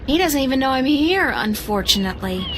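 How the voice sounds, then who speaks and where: A woman's voice: sits high, very animated, fairly quick, background noise. An actress playing a polite, curious young woman adventurer asking questions.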